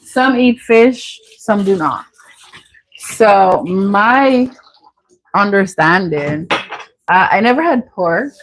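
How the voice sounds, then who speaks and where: Unhurried Jamaican woman over background noise. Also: mid-range voice, expressive. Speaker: cooking vlog host. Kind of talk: casual chatty cooking commentary. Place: home kitchen.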